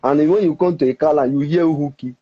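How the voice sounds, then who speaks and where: A Nigerian man whose first language is Ika, explaining at length with halting restarts in an interview. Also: fairly high pitch. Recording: dull, muffled recording.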